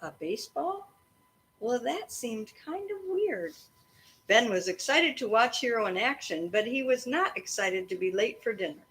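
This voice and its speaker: A woman reading a children's story aloud expressively, in an animated way meant to engage a young listener. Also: fairly high pitch, normal speed.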